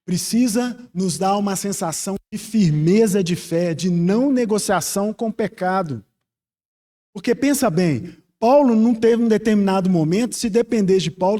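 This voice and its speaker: A man's voice: sits high, warm tone. A man with a Brazilian accent preaching an expository Bible sermon, earnest and reflective, putting questions to his listeners.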